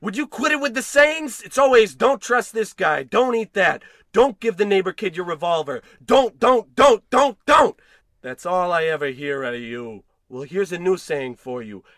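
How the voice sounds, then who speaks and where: An actor playing an excitable, fretful husband, theatrical and folksy, in a 1940s-style radio comedy. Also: male voice, sits high, normal speed, dry recording, studio quality. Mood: exasperated, manic.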